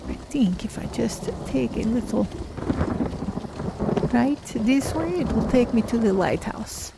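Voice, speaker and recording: Woman as female feline fantasy adventurer, curious and careful, formal wording, creaky fry, clear noise behind her. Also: on the high side, animated. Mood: musing. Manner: deliberate, formal phrasing without contractions. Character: curious, cautious female Khajiit cat-folk adventurer. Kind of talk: in-character game playthrough narration. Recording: clear background noise under voice.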